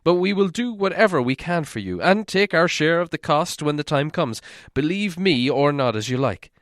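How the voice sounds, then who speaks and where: A man performs a proud male dwarf chieftain from a storybook. He declaims formally and loudly, pitched above a plain narrating voice, with stern emphasis. The recording is dry. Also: lively intonation, normal speed, dry recording. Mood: solemn, assuring. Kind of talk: storybook character voice in audiobook reading.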